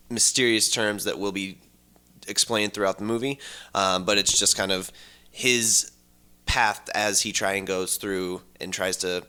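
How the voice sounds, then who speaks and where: A man co-hosting a podcast, pitching ideas casually in a halting, tentative way. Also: pushed and bright, clean tone. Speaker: podcast co-host.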